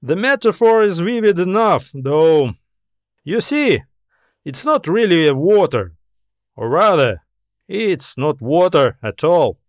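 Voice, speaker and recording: A man acts out an elderly sci-fi audiobook scientist, pitched noticeably high and recorded dry. He explains patiently and philosophically, with polite composure and a wry streak. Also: sing-song, slow, no room sound. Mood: thoughtful. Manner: thoughtful, philosophizing, gently explanatory. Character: old alien scientist, calm, courteous, wryly amused. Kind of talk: audiobook character dialogue.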